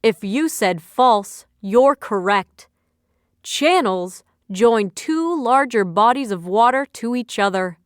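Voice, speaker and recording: A woman reading scripted educational narration as a quiz instructor, with deliberate, instructional phrasing. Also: on the high side, expressive, clean tone, dry recording, studio quality.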